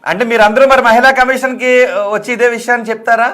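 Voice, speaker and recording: A male live TV debate host with a notably high voice. He talks rapidly and insistently, circling back to repeat his appeals, and drops English words into his speech. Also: steady tone, a bit bright. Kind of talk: live call-in debate, addressing viewers.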